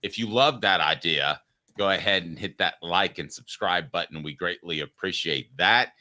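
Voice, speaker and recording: A man's voice: low voice, moderate pace, sharp tone. A man in his fifties hosting a sports talk show, chatty and jokey, asking long questions of his co-host. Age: 50s.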